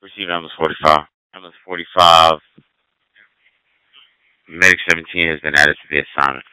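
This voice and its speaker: A man's voice: a bass voice, fairly even intonation, normal speed, bright, forceful tone, clear, resonant tone, dry recording, low-quality recording. A man dispatching fire and emergency units over two-way radio, procedural and repeating key details.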